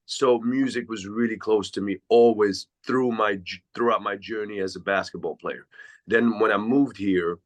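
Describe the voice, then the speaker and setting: Deep-voiced Swiss man telling his story. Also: steady tone, moderate pace. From Switzerland. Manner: reflective, long winding answers. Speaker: podcast guest, DJ. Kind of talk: casual interview storytelling.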